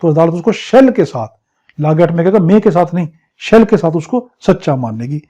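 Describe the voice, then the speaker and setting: A man's voice: fairly high pitch, expressive, normal speed, mellow. A man with a Pakistani accent teaching a law crash course, explaining point by point with everyday examples and questions he answers himself. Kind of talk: exam crash-course lecture, explaining article by article.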